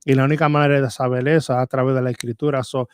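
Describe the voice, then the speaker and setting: A man, a Bible teacher, talking as a podcast guest in halting, word-searching Spanish that he says is rusty. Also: medium pitch, flat delivery, clear, resonant tone. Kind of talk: podcast interview testimony.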